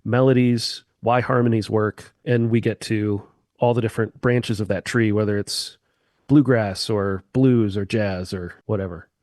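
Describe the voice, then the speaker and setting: A man's voice: fairly low pitch, steady tone, unhurried, a little mellow, dry recording. A man, a musician guest on a chat podcast, explaining eagerly with sweeping comparisons into a close mic.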